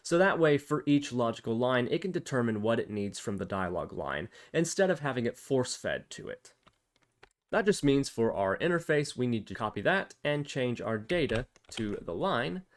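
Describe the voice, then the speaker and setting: A man's voice: mid-range voice, big pitch swings, normal speed. A man presenting a casual step-by-step programming tutorial, explaining and thinking aloud as he demonstrates.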